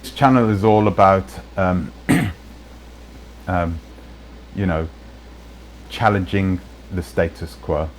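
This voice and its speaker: A man's voice: sits low, slow, pauses often, rough recording. A man talking casually in a rambling way, often restarting his sentences, with faint noise behind him. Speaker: channel host in played clips. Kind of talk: casual live-stream talk.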